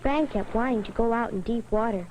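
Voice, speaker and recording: A woman's voice: a little high, fairly quick, a little mellow, slight background noise, natural voice, poor audio. A woman playing a sensible schoolgirl who narrates a summer safety story in an educational film. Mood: earnest, sensible. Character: sensible schoolgirl recounting a summer lake trip.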